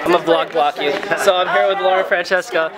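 Young male vlogger, high-pitched, excitable, casual slang, handheld camera in a packed, noisy room. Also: fast. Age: young adult. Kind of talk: casual vlog chat. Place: large busy indoor office space with people around. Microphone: handheld camera at arm's length. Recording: loud crowd chatter behind.

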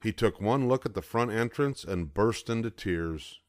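Older male reader for a kids' storybook, low voice, steady and even, very dry close sound. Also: normal speed, no echo. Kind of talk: reading a picture book aloud.

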